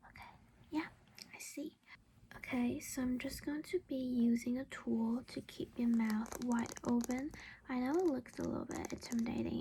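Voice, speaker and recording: Female ASMR roleplayer as a doting vampire mom: breathy, creaky whisper, highish pitch, gentle reassurance, close mic. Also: slight background noise, rough recording. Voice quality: breathy whisper with soft creaky voiced patches. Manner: gentle, reassuring, coaxing as if to a child. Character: doting vampire mother caring for her human child.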